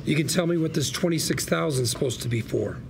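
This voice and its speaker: A man's voice: a little high, fast, vocal fry, noisy background. A man playing a scrappy, indignant lawyer at a script read, sarcastic, combative and theatrical. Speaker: actor at a script table read. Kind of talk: acted script read at a table.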